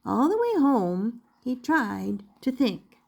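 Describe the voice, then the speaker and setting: Slow, very animated older woman storyteller. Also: on the high side, vocal fry. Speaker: storyteller reading aloud to children. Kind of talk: expressive children's picture-book narration.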